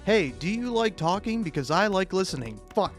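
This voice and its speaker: A man with a slightly high voice rambles through a casual comic monologue over faint music. He swears freely and trips over his words, repeating bits and breaking off mid-sentence to start again. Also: very animated. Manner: profane, halting, self-interrupting with stammered repeats; chuckles often while talking. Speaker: host of a comedic video.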